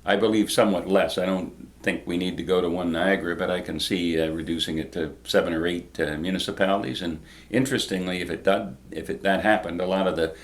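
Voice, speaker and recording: An older man answering interview questions as a guest, in measured, hesitant phrases with frequent pauses. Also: low-pitched, steady tone. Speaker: interview guest, former regional politician.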